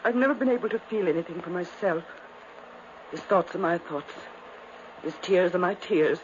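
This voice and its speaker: An actress playing a dying, delirious twin sister, intense and pleading in 1950s radio drama style. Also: female voice, lowish voice, normal speed, natural voice. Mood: bleak, haunted. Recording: muffled, hissy 1950s radio recording.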